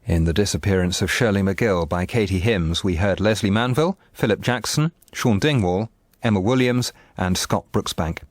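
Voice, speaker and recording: Male radio announcer, lowish pitch, formal scripted delivery, dry studio sound. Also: moderate pace, dry recording. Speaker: continuity announcer. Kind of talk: scripted broadcast credits.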